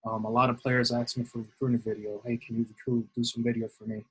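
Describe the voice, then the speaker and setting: A man's voice: medium pitch, little pitch movement, quick pace, slightly rough, low-quality recording. A middle-aged man from New City, answering interview questions in a rambling way with many fillers. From New York City. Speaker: guest, assistant baseball coach.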